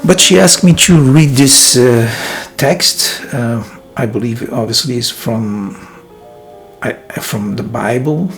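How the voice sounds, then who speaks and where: Guy musing from his monastery room, slow, hesitant, lots of ums, faint music behind. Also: mid-range voice. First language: Portuguese. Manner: thoughtful, hesitant, many pauses and fillers. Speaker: filmmaker and vlog host. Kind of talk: reflective personal vlog.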